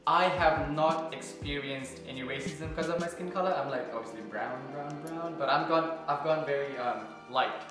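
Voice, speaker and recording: A man's voice: on the high side, normal speed, few pauses. A young man from Nepal vlogging casually in his apartment, candid and chatty with lots of fillers. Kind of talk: casual vlog talk to camera. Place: apartment kitchen. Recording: very echoey room with music.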